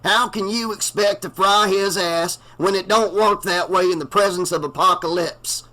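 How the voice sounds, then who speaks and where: A man voicing a drunk, bloodied old reverend who slowly proclaims doom. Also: high-pitched, fairly even intonation, sharp tone, pitched above the performer's own voice, low-quality recording. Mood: doom-laden. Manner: slow, prophetic proclamations.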